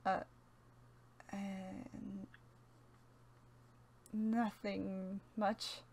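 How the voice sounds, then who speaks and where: A woman's voice: mid-range voice, flat delivery, extremely slow, creaky, darkish tone. A woman playing a reserved, weary apocalypse survivor with terse, hesitant delivery in an amateur roleplay, with faint noise. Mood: weary, subdued.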